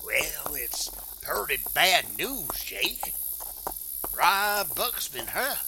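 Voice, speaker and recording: Guy playing a sorry hesitant cowhand, slow, radio western, really noisy crickets behind him. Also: on the high side, sing-song, gravelly, full character voice. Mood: apologetic, grave. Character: apologetic, hesitant cowboy ally bearing bad news. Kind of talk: western radio drama acting.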